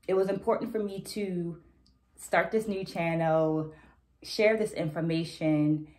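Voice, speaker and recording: A middle-aged woman talking to camera about a book in a deliberate, articulate, explanatory manner. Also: lowish voice, steady tone, a little mellow. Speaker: educator and book reviewer. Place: small indoor room at home. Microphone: phone camera a short distance away.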